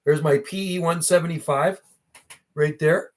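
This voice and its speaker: Middle aged guy, voice a bit high, rambling livestream chat, slangy and kinda reminiscing. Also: fairly even intonation, a little slow. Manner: slangy, reminiscing, often ends phrases with "man". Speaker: livestream host.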